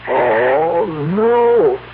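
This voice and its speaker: Excitable husband with a swooping voice. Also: male voice, a little high, extremely slow, exaggerated voice. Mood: horrified. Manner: stammering, repeats words, emotional. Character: excitable, desperate everyman husband of an ailing wife. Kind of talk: 1970s radio drama acting. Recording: noisy, tinny old radio broadcast.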